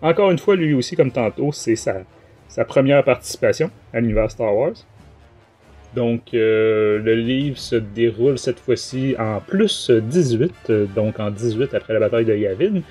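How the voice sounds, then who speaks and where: A man's voice: mid-range voice, moderate pace, dark tone, husky, faint music behind. A man with a Québécois French accent hosting a podcast, giving a casual book review in a rambling, colloquial, hesitant way.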